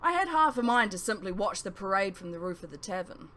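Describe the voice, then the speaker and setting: A woman reading a first-person novel aloud as scripted narration, with emphatic phrasing and dramatic pauses. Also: mid-range voice, very animated, bright, forceful tone, slight background noise.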